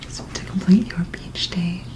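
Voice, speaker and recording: A woman performing an ASMR personal-attention role-play, speaking in a gentle, soothing, attentive manner. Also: lowish voice, level tone, measured pace.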